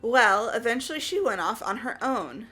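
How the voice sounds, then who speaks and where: A woman's voice: fairly high pitch, expressive, normal speed, bright, forceful tone, breathy edge, soft background music, heavily acted. A woman voicing an insistent, grieving teenage girl in a video game, speaking earnestly and emphatically. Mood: upset, regretful. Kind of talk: amateur video game voice acting.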